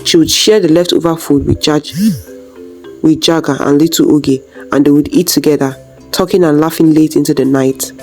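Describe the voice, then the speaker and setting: Low, mellow woman storyteller. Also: fairly even intonation, normal speed, soft background music. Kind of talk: scripted folktale narration.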